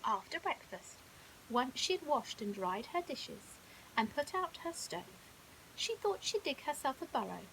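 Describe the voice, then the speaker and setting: High, animated woman storyteller. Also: a little slow, low-quality recording. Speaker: storyteller, primary school teacher. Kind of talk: expressive children's bedtime story narration. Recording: hissy background noise.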